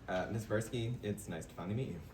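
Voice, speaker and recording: A man playing a stiff, halting, officious football team envoy in a live comedy table read. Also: fairly low pitch, close to an everyday voice. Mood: polite, businesslike. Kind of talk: live comedy script reading. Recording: roomy, distant mic, very loud noise.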